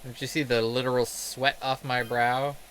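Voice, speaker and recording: A man's voice: medium pitch, level tone, normal speed, fairly bright tone, slight background noise. A man in a casual, rambling game commentary, sweary, self-deprecating and sarcastic. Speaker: game streamer telling stories while playing.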